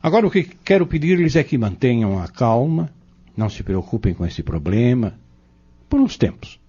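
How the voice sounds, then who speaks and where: A man playing a patient, devout, fatherly spiritual mentor in an audio drama, gentle and instructive. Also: medium pitch, very animated, normal speed, slightly rough. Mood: calm, earnest. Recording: muffled lo-fi audio.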